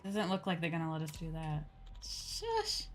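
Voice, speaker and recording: A woman's voice: deep voice, huge pitch swings, normal speed, slight background noise. A woman streaming a game, chatting casually and playfully and muttering to herself.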